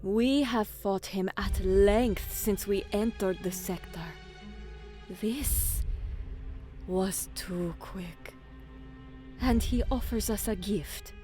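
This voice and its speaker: Woman acting as female alien commander, slow measured delivery, terse, shrewd, formal, sci-fi audio drama, music underneath. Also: mid-range voice, mellow, close to an everyday voice. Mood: guarded, suspicious.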